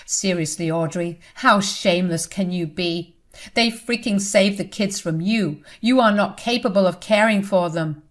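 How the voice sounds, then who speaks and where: A woman voicing an older mother who scolds her daughter loudly and sternly. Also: mid-range voice, measured pace, natural voice. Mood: furious.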